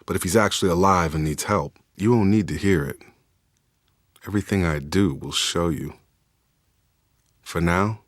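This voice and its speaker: A man playing a steadfast, guarded space soldier in a video game, speaking in a measured, plain-spoken, earnest way. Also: sits low, no echo, studio quality. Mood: guarded, matter-of-fact. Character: steadfast young male space soldier, guarded, duty-minded. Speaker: squadmate in a science fiction game. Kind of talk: video game voice acting, naturalistic dramatic dialogue.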